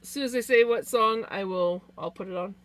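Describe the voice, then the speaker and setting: A woman with a naturally loud voice hosting a livestream, talking casually in a chatty, self-deprecating way. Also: medium pitch, brisk. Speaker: live stream host.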